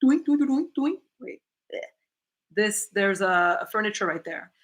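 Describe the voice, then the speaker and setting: A woman's voice: lively intonation, measured pace. A woman chatting candidly to viewers from her living room on a livestream, reading comments aloud and laughing often. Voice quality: lower-voiced. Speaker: YouTuber hosting a livestream Q&A.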